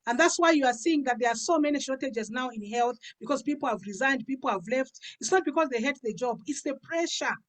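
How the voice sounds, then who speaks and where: A woman's voice: high voice, animated, fast, hardly any pauses. A woman. She gives a personal testimony conversationally, like a pastor sharing her own story. She repeats phrases to drive her points home. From Africa. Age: 50s. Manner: emphatic, repeats phrases for stress. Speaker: pastor giving a health and business testimony.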